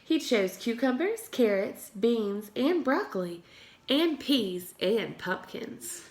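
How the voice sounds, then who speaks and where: Female pre-K teacher, storybook read-aloud, highish voice, very animated intonation for young listeners. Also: slow pace. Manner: expressive, addressing young children.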